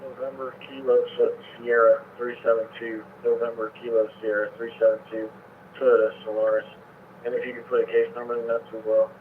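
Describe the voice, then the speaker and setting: A man's voice: mid-range voice, flat delivery, brisk, narrow, tinny audio, slight background noise. A male police officer making requests over a two-way radio on a scanner feed.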